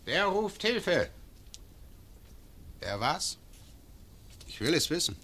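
An actor playing a cruel imperial bailiff in a classic radio play, cold, mocking and imperious. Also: male voice, fairly high pitch, sing-song, slow pace, pushed and bright, exaggerated voice. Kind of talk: classic radio drama acting.

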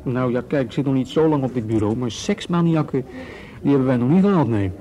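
A man playing a calm, hesitant young cop. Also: medium pitch, quick pace, natural voice, low-quality recording. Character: easygoing young male police officer. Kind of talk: radio drama acting.

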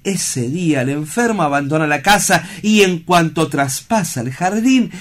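Man acting sanatorium director, radio play, slightly high male voice, solemn, eloquent, declamatory, earnest. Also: animated, moderate pace, a bit bright. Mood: fervent, lyrical.

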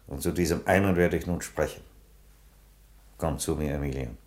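A man's voice: a bass voice, moderate pace, roomy sound. A man playing a solemn, defiant, fatherly Roman emperor in a theatrical radio drama, declamatory in manner. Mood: calm, solemn. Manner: solemn, declamatory, from whispers to thunder.

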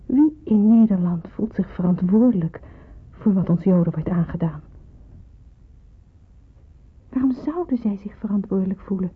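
An actress playing an earnest, resigned young nurse in a radio drama, speaking hesitantly. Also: female voice, mid-range voice, expressive, moderate pace, long pauses, husky. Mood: brooding, troubled. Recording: muffled, narrow-band old radio play, hissy.

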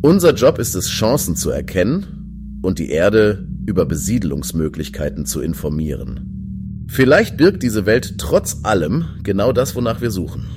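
Man playing a deep-voiced, animated space explorer. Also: unhurried. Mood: earnest, hopeful. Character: hopeful male space explorer, keen leader. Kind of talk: science fiction audio drama acting. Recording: music behind the voice.

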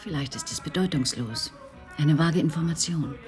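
A woman plays a skeptical investigator in a radio play. Her voice is very deep for a woman, her manner cool and assertive. Music plays behind her. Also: normal speed, a little mellow, close to an everyday voice. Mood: thoughtful. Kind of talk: German radio play acting.

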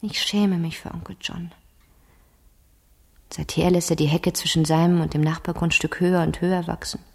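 Inquisitive teenage girl, low voice, reverberant. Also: steady tone, moderate pace, creaky, breathy edge. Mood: indignant, scornful. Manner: insistent, probing, direct. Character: inquisitive, outspoken fifteen-year-old girl, indignant at injustice. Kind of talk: German radio crime drama acting. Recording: 1970s radio production with long reverb.

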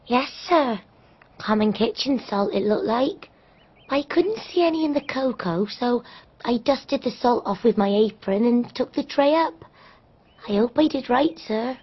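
An actress playing an anxious, deferential young English housemaid in a radio drama, meek and eager to please. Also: female voice, a little high, animated, a little slow, breathy edge. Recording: dull, lo-fi radio drama recording.

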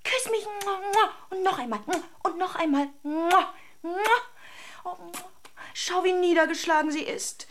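A woman's voice: extremely high pitch, unhurried, a bit bright, slight background noise. An actress playing an emotional, effusive married Russian woman in a German radio drama. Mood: gushing, doting. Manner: theatrical, emotionally volatile, effusive.

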